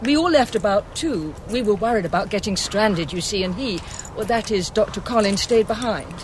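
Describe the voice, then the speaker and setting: A woman's voice: mid-range voice, lively intonation, normal speed, a little hiss or hum, lightly acted, rough recording. An actress playing a polite, nervy, chatty Englishwoman in a period radio drama, with an English accent and a genteel, apologetic manner. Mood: anxious, chatty. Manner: genteel, apologetic, flustered, prattling. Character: polite, nervy, chatty Englishwoman, 1940s art gallery worker.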